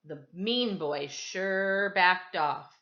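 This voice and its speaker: A woman reading a children's story aloud in an animated, expressive way. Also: lowish voice, extremely slow, muffled recording. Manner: animated, dramatizes dialogue. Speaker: storybook reader, teacher.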